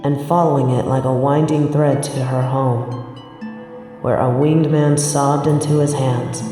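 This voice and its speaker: A woman narrating a scripted story in the second person, in a measured storytelling manner. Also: very deep voice, steady tone, mellow. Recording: very echoey, long reverb, music behind. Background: music.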